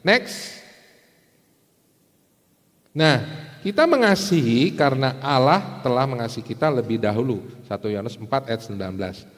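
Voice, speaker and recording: Male preacher, animated intonation, conversational sermon delivery, rhetorical questions, long hall reverb. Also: mid-range voice, moderate pace, pauses often. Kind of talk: sermon to a congregation. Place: large indoor church hall.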